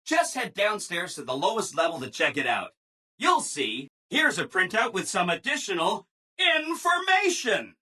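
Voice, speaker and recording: Game robot assistant: bright, very animated, ingratiating, relentlessly upbeat people-pleaser. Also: male voice, sits high, normal speed, breathy edge, exaggerated voice. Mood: chipper, helpful. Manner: chipper, ingratiating, emphatic, apologetic people-pleaser. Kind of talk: scripted video game dialogue.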